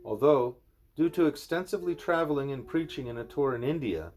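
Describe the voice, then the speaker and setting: A man reading a spiritual letter aloud at home, in a measured, earnest voice with deliberate pauses. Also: a little high, animated, darkish tone. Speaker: reader of a spiritual book.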